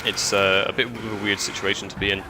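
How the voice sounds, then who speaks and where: A man's voice: fairly low pitch, little pitch movement, fast, background noise. A man from Scotland, chatting casually with viewers while live streaming a racing game, often repeating words as he restarts his sentences. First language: English. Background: music and race car sounds.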